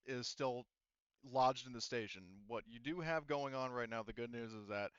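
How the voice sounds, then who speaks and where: A man with a clear, smooth voice at an ordinary pitch works as a game master. He explains the state of a tabletop game to players, repeating and rewording as he goes. The recording is dry and close. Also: moderate pace, dry recording, lo-fi sound.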